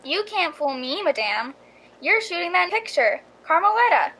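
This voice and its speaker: A woman's voice: extremely high pitch, very animated, moderate pace, pushed and bright, exaggerated voice, low-quality recording. A woman playing an eager, insistent young boy hunting for autographs in a radio drama.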